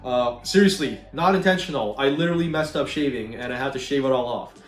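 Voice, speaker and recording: A man's voice: mid-range voice, fast. A young man from Ukraine with a Canadian accent and a slight Slavic tinge, chatting casually as he answers viewer questions with lots of fillers. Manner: relaxed and chatty, many fillers. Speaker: gaming YouTuber. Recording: roomy echo, a bit far from mic, faint music.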